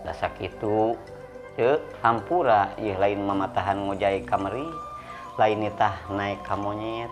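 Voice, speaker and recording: A poor, humble debtor man acted by a male storyteller speaks slowly with a Sundanese accent. He is patient, pleading and preachy, over clearly audible music in a folk tale. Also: fairly low pitch, fairly even intonation, pitched below the performer's own voice, lightly acted. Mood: humble, admonishing.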